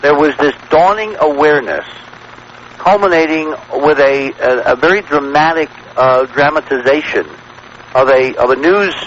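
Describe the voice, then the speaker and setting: A man talking as a radio show guest, telling things in an emphatic, wordy way with halting repetitions. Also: on the high side, a bit bright. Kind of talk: conversational storytelling on talk radio. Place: radio broadcast. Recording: dull, noisy vintage radio broadcast recording.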